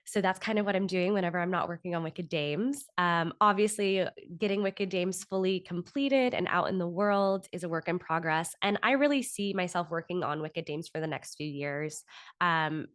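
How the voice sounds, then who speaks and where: A woman in her thirties with an American accent speaks in a lowish register. She answers interview questions conversationally, and the sound is dry, with very little room in it. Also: normal speed, no echo. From Florida, United States. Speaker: podcast guest, author and actor.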